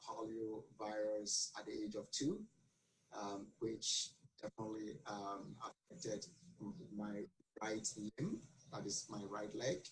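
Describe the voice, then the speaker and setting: A man's voice: lowish voice, fairly bright tone, a little hiss or hum, rough recording. A man from Ghana, answering interview questions earnestly with many fillers and restarts. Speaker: guest.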